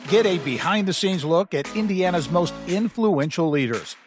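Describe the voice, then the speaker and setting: A man's voice: sits high, expressive, normal speed, faint music behind. An American man reading a scripted radio promo as an announcer.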